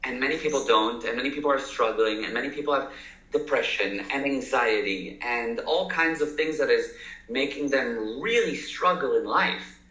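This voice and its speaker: A man's voice: medium pitch, normal speed, bright, forceful tone. A man in his thirties with a mixed American and South African accent, telling his story as a guest in an upbeat, talkative way. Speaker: entrepreneur guest. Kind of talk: casual interview talk. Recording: echoey room.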